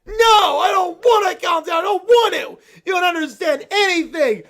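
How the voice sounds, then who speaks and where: A woman's voice: fairly high pitch, lively intonation, slow pace, vocal fry, pitched below the performer's own voice, heavily acted. A woman playing a sobbing, raging little bear boy. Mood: furious wailing. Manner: wailing, shouting, sobbing complaints. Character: furious little boy teddy bear, tantrum.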